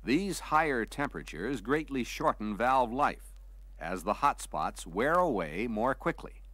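A man reading a scripted vintage training film narration in a measured, clearly articulated, explanatory manner. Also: mid-range voice, very animated, sharp tone, no echo.

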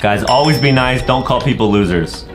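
A man's voice: medium pitch, normal speed. A young American man co-hosting a gaming video with goofy, mock-earnest casual banter. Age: young adult. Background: clear music.

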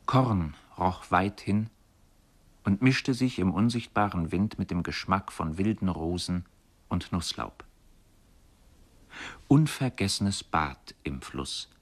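A man reading literary radio narration in a measured, solemn manner. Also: deep voice.

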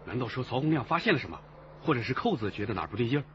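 An actor playing a keen, urgent police officer in a radio crime drama. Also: male voice, mid-range voice, sing-song, rapid-fire, dull audio, slight background noise, natural voice.

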